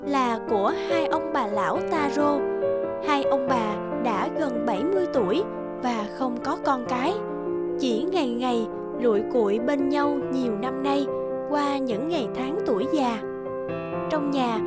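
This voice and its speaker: Female storybook narrator: high voice, scripted delivery, loud guitar bed. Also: measured pace. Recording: loud guitar music underneath.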